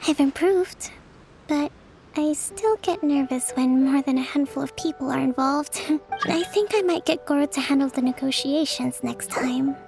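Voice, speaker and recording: A woman playing a gentle, dutiful young priestess and leader in a video game, speaking in formal, measured phrases. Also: sits high, gravelly, over music. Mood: weary, soft. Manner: formal, measured, composed diction. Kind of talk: video game character acting.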